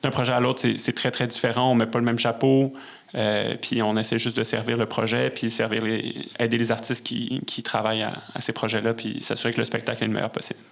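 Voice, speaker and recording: A man with a Québécois French accent, speaking as a guest in a casual interview, often halting to search for words. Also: medium pitch, little pitch movement, gravelly. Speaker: podcast guest, musician and conductor.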